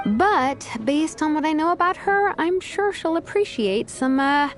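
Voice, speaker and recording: A woman's voice: high voice, expressive, unhurried, faint music behind. A woman voicing a laid-back, dryly wry young craftswoman with an older-sister manner in a video game. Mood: sincere. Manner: dry, understated.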